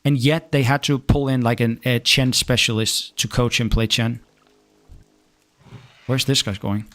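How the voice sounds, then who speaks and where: Relaxed Danish man chatting while gaming. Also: mid-range voice, soft background music. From Denmark. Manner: halting, restarts often, relaxed. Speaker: gaming streamer. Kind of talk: casual chat reading viewer questions.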